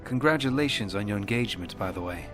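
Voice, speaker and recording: An actor playing a composed, loyal warrior hero in a video game, asking direct questions in a measured way. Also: male voice, on the low side, animated, over music, close to an everyday voice. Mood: loyal, dry. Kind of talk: video game cutscene acting.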